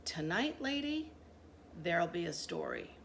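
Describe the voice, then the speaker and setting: A woman playing a stern, mysterious old grandmother in a storybook, speaking in a slow, deliberate, ominous way. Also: lowish voice, wildly swooping pitch, creaky, full character voice. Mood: knowing. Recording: noticeable background noise.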